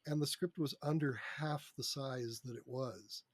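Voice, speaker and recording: Husky man chatting casually, close dry sound. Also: mid-range voice, fairly even intonation, measured pace, dry recording. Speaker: podcast guest, script reader and critic. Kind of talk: casual interview chat.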